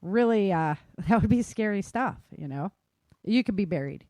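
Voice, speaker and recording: An American woman hosts a casual podcast in a very dry, close recording. Her voice sits at a middling pitch. She talks things through in an explanatory way, often tagging her points with a quick check-in. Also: animated, darkish tone, no echo. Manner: explanatory, often checks in with "right?" and "you know". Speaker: podcast host and author.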